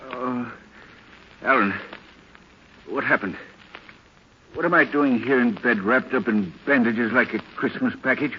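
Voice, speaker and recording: An actor playing a brisk, imperious gentleman detective hero in an old radio thriller. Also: medium pitch, natural voice. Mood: groggy, puzzled. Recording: hissy, muffled old radio recording.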